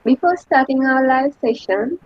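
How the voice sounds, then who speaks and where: A woman's voice: sits high, moderate pace, breathy edge, dry recording, dull audio. A woman from Sri Lanka hosting a live talk with a polite, formal, scripted manner. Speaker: host.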